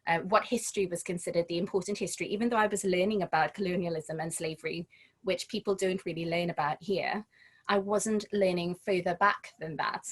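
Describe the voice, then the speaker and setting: A woman from Trinidad and Tobago, a guest speaking in a relaxed interview and often restarting her phrases as she thinks. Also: on the low side, a little fast. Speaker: guest, home educator. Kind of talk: casual conversational interview.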